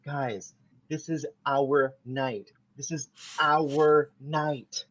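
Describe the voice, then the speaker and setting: A man's voice: fairly high pitch, fairly even intonation, extremely slow, slight background noise. A man reading the part of a vain young man in an amateur podcast table read, pleading and repetitive, on a dull lo-fi mic.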